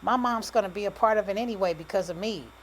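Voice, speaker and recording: A woman's voice: medium pitch, fast, slight background noise, pitched above the performer's own voice, close to an everyday voice, low-quality recording. A woman voicing a cocky, blunt young male rapper with dismissive street slang, in audiobook dialogue. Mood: irritated, defensive.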